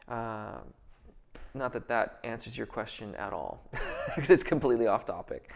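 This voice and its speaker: Gravelly-voiced male vocal coach, live Q&A. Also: medium pitch, a little slow. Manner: thoughtful, hesitant, many fillers and restarts; technical explanations of singing. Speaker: vocal coach answering viewer questions. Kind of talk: casual live Q&A.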